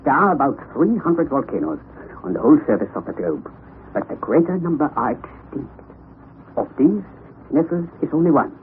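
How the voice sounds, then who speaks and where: An actor playing an excitable, eccentric elderly professor in a 1960s radio drama, speaking in a commanding, theatrical way. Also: male voice, on the high side, normal speed. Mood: explaining. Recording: lo-fi vintage radio broadcast with hiss.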